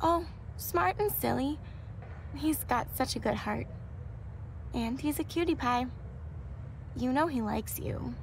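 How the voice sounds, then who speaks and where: Devout young woman student, gentle, high-ish voice, earnest, video game dialogue, noticeable background ambience. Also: animated, slow pace, vocal fry, long pauses, a little hiss or hum, heavily acted. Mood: fond, teasing. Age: young adult. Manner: soft-hearted, earnest, sincere. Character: gentle, devout young female student, fragile but hopeful.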